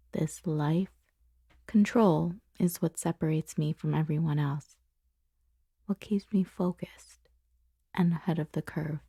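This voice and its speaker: A dark, breathy voice very close to a dry mic: a woman acting as a powerful, self-controlled female boss in an ASMR storytime. She speaks softly and very slowly, often slipping into a whisper, confessing a forbidden attraction with deliberate pauses. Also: lowish voice, no room sound. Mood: controlled, proud. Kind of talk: intimate ASMR storytime roleplay. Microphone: close, dry microphone.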